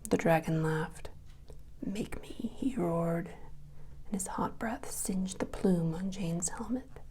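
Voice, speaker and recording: A woman reading a children's story aloud as ASMR in a soft, breathy whisper. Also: fairly low pitch, slow.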